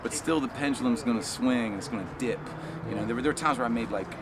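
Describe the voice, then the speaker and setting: A man's voice: medium pitch, fast talker. A man in his fifties, a working drummer, giving an earnest, reflective interview answer full of "like"s. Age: 50s. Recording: outdoor street crowd noise.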